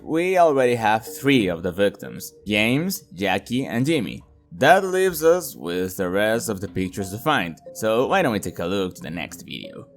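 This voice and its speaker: A man hosting a horror video review, moving between scripted narration and casual commentary. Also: fairly low pitch. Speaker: host of a video reviewing an analog horror series.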